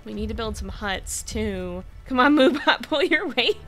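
Woman livestreamer, bright and chatty, mixed American accent, giggles between lines, quiet music bed. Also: a little high, lively intonation, unhurried. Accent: mixed American, slightly British-tinged. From California. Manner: chatty, laughs often, talks to her game and chat. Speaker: streamer. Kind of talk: casual game livestream chat.

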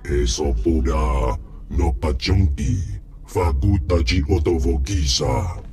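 Imposing alien boss for a video game: bottomless pitch, ponderous pace, invented language. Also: male voice, slow, over music, exaggerated voice. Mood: gruff, emphatic. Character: imposing male alien crime-world businessman speaking invented tongue.

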